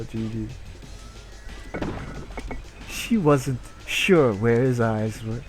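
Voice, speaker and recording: A man hosting a comedic dramatic reading, excitable and given to jokey asides. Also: medium pitch. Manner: excitable, breaks into jokey commentary. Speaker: reader and gamer host.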